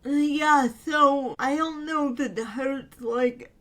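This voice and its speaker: Slow-talking high-voiced woman, slightly raspy. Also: fairly bright tone. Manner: slow, earnest and affectionate, with frequent fillers. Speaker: podcast co-host. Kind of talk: casual chat.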